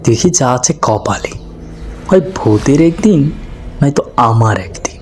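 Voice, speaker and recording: A man's voice: mid-range voice, expressive, unhurried, slight background noise, close to an everyday voice. A man playing a lazy, poor villager in a cartoon, musing aloud and complaining to himself in a rambling monologue. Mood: uneasy, resolute. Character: lazy, grumbling poor villager wandering at night.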